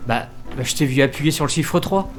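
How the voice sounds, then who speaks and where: A man playing a naive, excitable time-traveling new recruit in a French audio comedy, with a flustered, stammering delivery. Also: a little high, a little fast, faint music behind, natural voice. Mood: doubtful.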